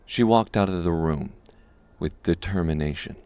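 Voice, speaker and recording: A man narrating a scripted dark fantasy audiobook. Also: sits low, moderate pace, clear, resonant tone, dry recording.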